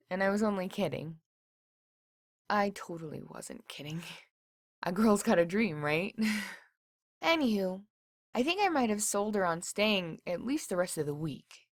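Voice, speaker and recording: A woman's voice: mid-range voice, no room sound, clean studio sound. A woman playing a chatty, cheerful small-town motel desk clerk, rambling in a folksy, bubbly way through a diary. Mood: playful. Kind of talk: acted diary reading.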